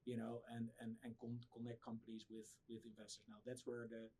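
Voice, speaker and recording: A middle-aged man from the Netherlands with a Dutch accent, answering interview questions conversationally and a little haltingly. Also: medium pitch, monotone, warm tone, breathy edge. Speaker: interview guest, startup founder.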